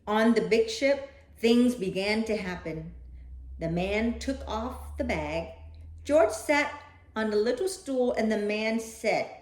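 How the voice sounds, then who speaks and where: Slow, animated woman reading a storybook aloud. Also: mid-range voice, echoey room. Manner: animated, dramatic, with playful asides to a young listener. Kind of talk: expressive children's picture book read-aloud.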